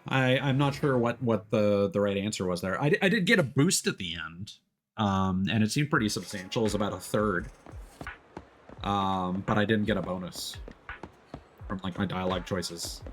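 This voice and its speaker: A man's voice: lowish voice, moderate pace, long pauses, a bit bright. A man giving casual live commentary while playing a video game, musing aloud in a conversational way. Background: music behind the voice.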